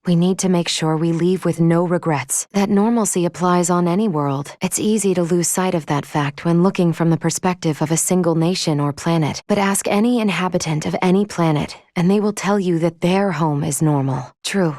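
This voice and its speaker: A woman plays a logical female android crew member from a video game. Her voice sits a little low, with formal, precise phrasing and a composed, matter-of-fact manner. The sound is close and dry, with no room around it. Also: moderate pace, few pauses, a little mellow, no echo, close to an everyday voice. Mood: formal, measured. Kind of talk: video game character voice acting.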